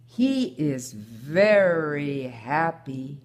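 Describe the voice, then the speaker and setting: Older mom reading a kids picture book out loud, real slow and careful, lowish voice. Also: female voice, very animated, a little hiss or hum. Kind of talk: slow read-aloud of a children's story.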